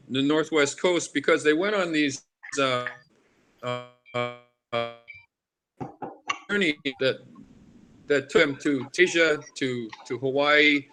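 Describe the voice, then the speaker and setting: An older man from Alaska talking casually as an interviewed artist, in a halting, rambling way that restarts and drifts. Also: fairly high pitch, bright, forceful tone, poor audio. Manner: halting, rambling, with repeated starts and tangents. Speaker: artist and carver being interviewed. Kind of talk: casual reminiscing interview talk. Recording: noticeable background noise.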